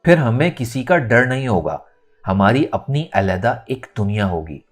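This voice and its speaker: A man's voice: medium pitch, sing-song, unhurried, faint music behind. Man reading as a defiant, determined young woman.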